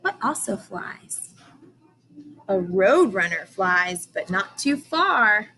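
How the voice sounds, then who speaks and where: A woman's voice: medium pitch, big pitch swings, slow, pushed and bright, slight background noise. A woman teacher reading aloud to young children, explaining and asking questions in simple, emphatic language.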